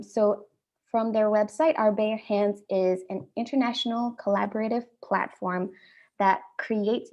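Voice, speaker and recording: A young adult woman with an Indian accent, hosting an online panel talk in a friendly, measured way with frequent ums. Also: medium pitch, a little mellow. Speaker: host.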